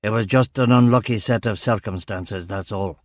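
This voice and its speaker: Here is a man acting out a big older Glaswegian police commander from a crime audiobook. He is gravelly, blunt and authoritative, pitched low and kept fairly quiet, recorded very dry and close. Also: normal speed, no room sound, close to an everyday voice. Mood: gruff, protective. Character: burly, angry older police chief superintendent, commanding but protective. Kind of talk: audiobook character dialogue.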